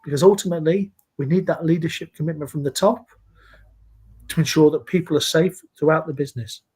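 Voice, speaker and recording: Husky Scottish-born man in his sixties. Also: on the high side, darkish tone. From Scotland. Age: 60s. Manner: measured, earnest, speaks in short phrases. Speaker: interview guest, safety leader. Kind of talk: live online interview. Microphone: video call.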